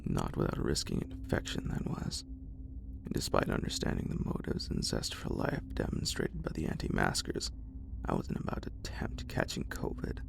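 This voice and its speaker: Whispering man with a gravelly, creaky edge. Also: rumbling low, wildly swooping pitch, background noise. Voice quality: breathy, unvoiced whisper. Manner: deliberate, literary first-person storytelling. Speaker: horror story narrator. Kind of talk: whispered ASMR horror story reading.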